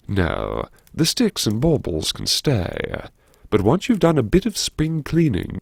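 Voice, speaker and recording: Man acting a droll scholarly schemer, audiobook inner monologue, self-mocking, animated intonation, close dry sound. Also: on the low side, gravelly, no room sound, exaggerated voice. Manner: droll, plummy old-fashioned phrasing, musing. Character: wry, erudite, self-mocking new university head talking to himself.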